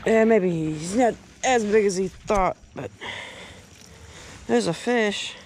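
A man's voice: high voice, takes it slowly. A young American man giving casual, folksy fishing vlog commentary with repeated short phrases, outdoors on a boat. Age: young adult. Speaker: fishing vlogger. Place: outdoors on a small boat on a lake. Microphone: action camera, worn or at arm's length. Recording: boat and outdoor background noise.

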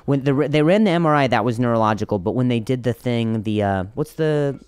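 A young man with a stutter, chatting casually as a podcast guest in a studio lounge, talking into a boom mic. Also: lively intonation, a little fast, a little mellow, smooth, no echo. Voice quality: stutter with repeated sounds. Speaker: guest comedian. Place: studio lounge with leather couches. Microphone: boom mic on arm.

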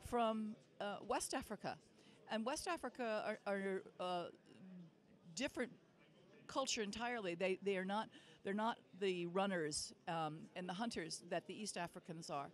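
Musing woman, middle pitch. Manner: musing, many restarts. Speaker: interviewee. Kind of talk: documentary interview.

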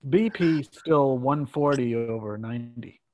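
Man acting out a smart-home monitor, middle pitch, clinical, measured, nagging, play reading. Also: darkish tone, lightly acted.

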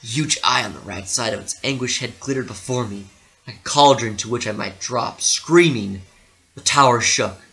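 A man narrating a literary story dramatically, with theatrical, deliberate phrasing. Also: medium pitch, pushed and bright, low-quality recording.

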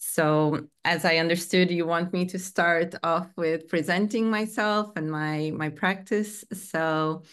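A woman from Portugal in her thirties, a Portuguese native speaker, introduces her work on a video call from home. Her voice is low, smooth and slow, and she picks her words carefully, often restarting a phrase. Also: steady tone, a bit bright. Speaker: program director. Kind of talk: panel self-introduction. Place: home room. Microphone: video call webcam.

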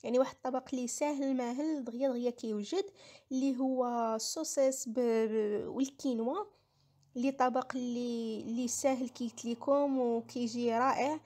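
A woman's voice: fairly high pitch, fairly even intonation, a little fast, dark tone. A woman with a Moroccan accent giving a casual recipe voice-over, explaining each step and repeating herself. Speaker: home cooking vlogger.

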